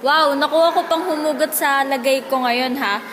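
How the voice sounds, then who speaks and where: A young woman playing a studious, tired teenage high school girl in an amateur school radio drama. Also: moderate pace, a bit bright, slight background noise. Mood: weary.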